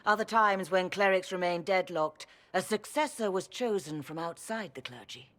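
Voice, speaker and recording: A woman's voice: fairly low pitch, lively intonation, normal speed, fairly bright tone. Insistent, solemn reverend mother. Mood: solemn, pleading. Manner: formal, pleading, solemn. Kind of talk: video game dialogue acting.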